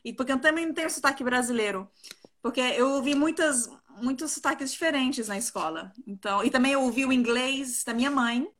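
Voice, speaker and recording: A woman's voice: sits high, a little fast, a bit bright. A woman, chatting casually and a little giggly as an interview guest on a video call. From Brazil, partly raised in South Korea. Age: 20s. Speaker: interview guest, professional flautist.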